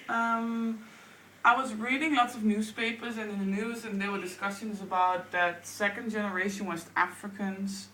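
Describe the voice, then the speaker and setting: A woman's voice: medium pitch, a bit bright, slight background noise. Dutch woman in her 30s chatting on radio, echoey and a bit far from the mic. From Netherlands. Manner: chatty, quick, uses "like" often. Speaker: guest, anthropologist. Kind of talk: radio interview.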